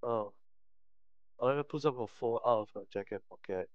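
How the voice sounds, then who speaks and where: A man's voice: medium pitch, moderate pace, no echo, muffled recording. A man live-streaming a visual novel, reading it aloud with slangy commentary and frequent stumbles over the text. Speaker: game streamer.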